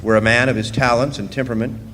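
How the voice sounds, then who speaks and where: A man's voice: mid-range voice, fairly even intonation, fast talker, fairly bright tone, lightly acted. An actor playing a wry rail-riding drifter who looks back on his past as narrator of a radio play. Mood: wry, reflective. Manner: storytelling, dryly wry. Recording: live hall reverb, faint background noise.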